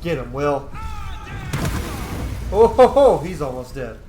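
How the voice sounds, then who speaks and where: A man giving casual, excitable game commentary with plenty of swearing. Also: fairly high pitch, takes it slowly, noisy background. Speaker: gaming video creator. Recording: roomy echo, game music behind. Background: game music.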